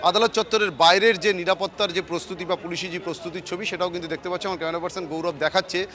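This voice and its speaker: A man's voice: high-pitched, steady tone, rapid-fire, sharp tone. A man reporting live on breaking news from outdoors in a city, in a wordy, emphatic way. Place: outdoors at a city courthouse compound.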